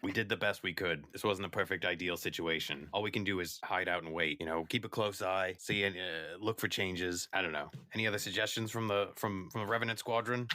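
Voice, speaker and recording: A man's voice: low voice, steady tone, fairly quick, hardly any pauses, sharp tone. A man playing a tabletop roleplaying game, improvising narration casually and hesitantly, with music behind him.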